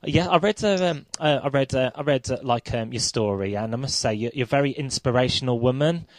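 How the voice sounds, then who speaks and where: A man's voice: mid-range voice, a little fast, dry recording. Hesitant man hosting a breakfast radio show. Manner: hesitant, many ums and restarts, warmly encouraging. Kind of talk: radio interview.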